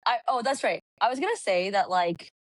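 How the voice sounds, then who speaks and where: A woman from California, a podcast guest, telling travel stories in an animated, casual way with lots of "like". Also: medium pitch, brisk, dry recording. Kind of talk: casual chat. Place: Puerto Rico.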